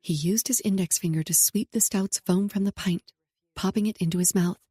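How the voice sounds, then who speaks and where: A woman narrating a romance audiobook in first person, soft and emotional. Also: medium pitch, moderate pace, slightly rough, no room sound, studio quality.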